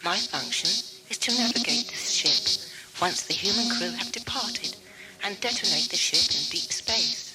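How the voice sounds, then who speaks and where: A man's voice: sits high, unhurried, low-quality recording. A man playing a coldly polite, megalomaniac ship's computer in a science fiction audio drama, speaking in a formal, grandiose way. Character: megalomaniac mind-draining ship's computer, calm and menacing. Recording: music under the voice.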